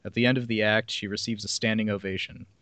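A man's voice: on the low side, steady tone, fast talker, fairly bright tone, no echo. A man hosting a podcast, reading a plot summary aloud with casual asides. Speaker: podcast host reading film synopses.

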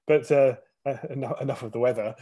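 A man's voice: medium pitch, fairly even intonation, fast, warm tone, breathy edge. A man hosting a policy podcast in a casual way, often stammering and repeating words as he asks his questions. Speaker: podcast host, research fellow.

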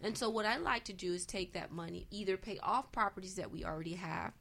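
A woman's voice: mid-range voice, fast, vocal fry, a bit bright, slight background noise. A woman speaking conversationally as a guest on a panel about investing, emphatic and repeating phrases for emphasis.

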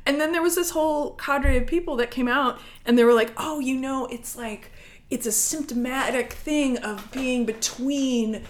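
A woman's voice: high-pitched, normal speed. A woman co-hosting a podcast, chatting casually and sardonically about politics and comedy, swearing freely. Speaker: podcast co-host.

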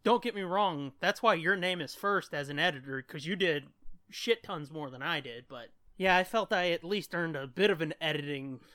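A man's voice: a little high, normal speed, bright, forceful tone, no echo. A man co-hosting a podcast in casual, rambling and casually profane chat. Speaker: podcast co-host.

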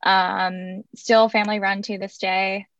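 A young woman from San Francisco with a slightly raspy voice talks casually about her career, full of ums and self-corrections. The sound is dry and close, a little dull in the highs. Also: level tone, measured pace, fairly bright tone, dry recording. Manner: chatty, many ums and restarts. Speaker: guest, music marketing head. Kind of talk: casual interview chat.

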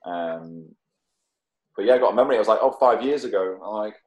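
A man's voice: lowish voice, lively intonation, brisk, a little mellow. A British man in his thirties chatting casually in a rambling, sweary way. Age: 30s. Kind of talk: casual chat.

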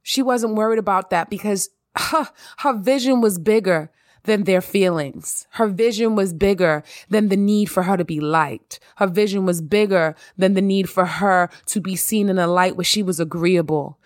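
A married woman hosting her own podcast and talking straight to her listeners in an emphatic, expressive way, repeating lines to drive them home. Her voice sits at an ordinary pitch for a woman. The recording is dry and close, with no room sound. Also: normal speed, dry recording. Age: adult, married. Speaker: podcast host. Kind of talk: casual monologue.

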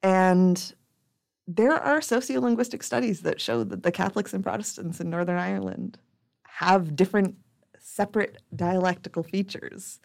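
A woman's voice: medium pitch, moderate pace, gravelly, a little mellow. A woman co-hosting a podcast, explaining at length in casual chat and restarting sentences as she thinks aloud. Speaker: podcast co-host.

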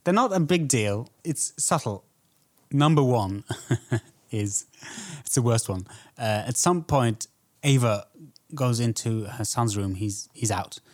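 A man co-hosts a film podcast in a relaxed, conversational style. His voice sits at an ordinary middle pitch, and he offers his critique in a measured, softly qualified way. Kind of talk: casual film discussion.

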